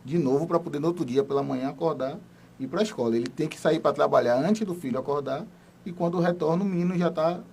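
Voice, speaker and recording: A man lays out his points in a calm, measured way during a radio interview. His voice is mellow and warm at a mid pitch. A faint room ambience sits underneath him. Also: gravelly, slight background noise. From Salvador, Bahia, Brazil. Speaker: military police major. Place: radio studio.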